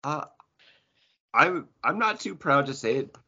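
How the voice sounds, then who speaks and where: Lively husky man with bright edge. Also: fairly high pitch, unhurried, highs cut off. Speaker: podcast host. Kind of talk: casual, joking chat.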